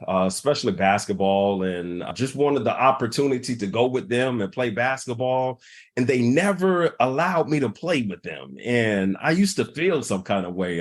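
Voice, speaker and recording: A middle-aged man speaking as a podcast guest, earnest and heartfelt, sometimes repeating words as he builds a point. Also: medium pitch. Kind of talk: reflective interview conversation.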